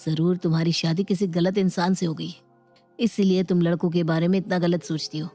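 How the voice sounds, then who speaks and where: A woman voicing a smooth-talking young man who sweet-talks and persuades in a dramatized moral story. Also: lowish voice, little pitch movement, brisk, mellow, soft background music. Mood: flattering, concerned. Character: smooth-talking young male online suitor, flattering and insistent.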